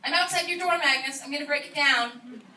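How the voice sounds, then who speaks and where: A woman's voice: high-pitched, brisk, sharp tone, pitched above the performer's own voice. Threatening, enraged young woman, played by a woman, comic live reading, distant mic with faint noise. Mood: furious. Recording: roomy, distant old iPod recording, faint noise.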